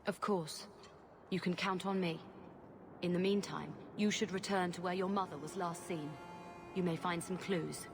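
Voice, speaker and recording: A woman playing a dutiful officer in a video game, speaking in a formal, measured way. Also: on the low side, fairly even intonation, music in the background, close to an everyday voice. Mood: reassuring. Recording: clearly noisy background ambience.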